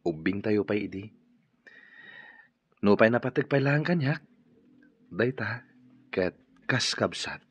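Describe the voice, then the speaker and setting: A man's voice: on the low side, animated, slow, long pauses, husky, full character voice. A man playing an earnest young lover, pleading and sincere, in an Ilocano radio drama. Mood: ardent, pleading. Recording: dull, lo-fi radio recording.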